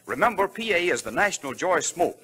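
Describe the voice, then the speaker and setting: Bright, forceful 1930s radio ad man. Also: big pitch swings, fairly quick, husky. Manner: polished sales pitch. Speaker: radio announcer. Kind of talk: 1930s radio advertising announcing. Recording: old 1930s radio broadcast recording.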